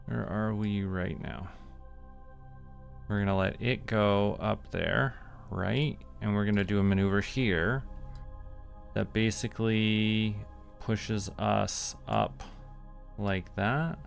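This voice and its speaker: Low, gravelly Canadian man streaming. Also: fairly even intonation, slow, pauses often, soft background music. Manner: thinking aloud, talking himself through steps. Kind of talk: casual gameplay commentary.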